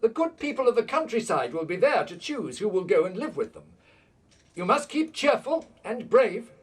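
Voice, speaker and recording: A friendly school man in a radio play. His delivery swings widely in pitch and has a husky edge. He is picked up a little way from the microphone. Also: big pitch swings, normal speed, distant mic, heavily acted. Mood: formal, reassuring. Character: friendly working man George, school handyman, later wartime headmaster.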